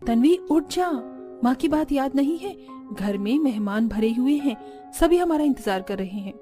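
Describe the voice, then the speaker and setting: A woman's voice: high-pitched, expressive, moderate pace, warm tone, faint music behind. A woman voicing a responsible, polite young elder sister and new bride in a cartoon story. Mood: earnest. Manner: polite, eager to help. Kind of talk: Hindi cartoon story acting.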